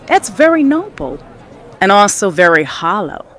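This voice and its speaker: A woman playing an alluring, challenging sea siren in a mythic audio drama, speaking in a deliberate, probing, theatrical way. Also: medium pitch, big pitch swings, some chatter behind. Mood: knowing, piercing.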